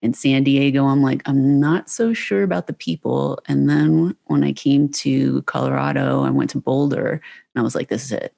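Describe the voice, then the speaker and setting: A woman from Pennsylvania, a guest on a podcast, chatting in an easygoing, soft-spoken way. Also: a bass voice, normal speed, gravelly. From Pennsylvania, United States. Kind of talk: casual interview chat.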